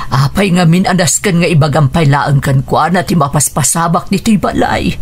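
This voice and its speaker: An actress playing a tender, wistful older widowed mother in a radio drama, with a husky voice. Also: low voice, normal speed. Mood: concerned, questioning. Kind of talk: Ilocano radio drama acting.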